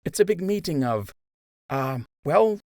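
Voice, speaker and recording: Man voicing a high-pitched, wry young female rabbit healer. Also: expressive, unhurried, a little mellow, dry recording, clean studio sound. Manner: wry, sarcastic, reassuring.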